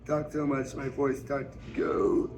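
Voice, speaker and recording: A man's voice: mid-range voice, little pitch movement, a little slow, darkish tone, faint music behind. A man reading a novel aloud, delivering first-person narration written in Southern dialect. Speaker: book reader. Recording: noisy public store ambience.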